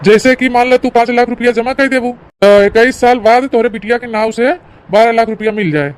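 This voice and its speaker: A woman's voice: on the high side, a little fast, lightly acted. A woman voicing a patient, persuasive village insurance agent, a man, in an Awadhi comedy cartoon, explaining things in colloquial salesman style with an Awadhi accent.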